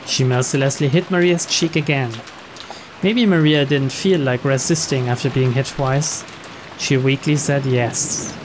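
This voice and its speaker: A man's voice: mid-range voice, slow. A man reading scripted story narration aloud. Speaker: reader of on-screen story text. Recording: clear rain noise behind.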